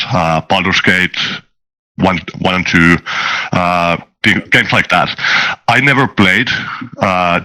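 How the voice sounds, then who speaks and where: Deep, bright-voiced man answering hesitantly. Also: poor audio. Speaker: guest, tabletop game master. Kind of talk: casual interview answers.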